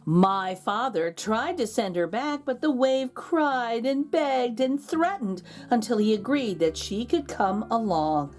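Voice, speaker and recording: A woman narrating a children's storybook aloud as a read-aloud host, expressive and drawing out key words. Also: fairly high pitch, takes it slowly, hardly any pauses, faint music behind.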